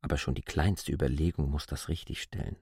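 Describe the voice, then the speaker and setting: An actor playing a stubborn, self-assured outsider in a radio drama, reasoning articulately and insistently. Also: male voice, rumbling low, steady tone, a little fast, a little mellow, breathy edge, no room sound, clean studio sound. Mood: patronising, insistent. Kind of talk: literary radio drama acting.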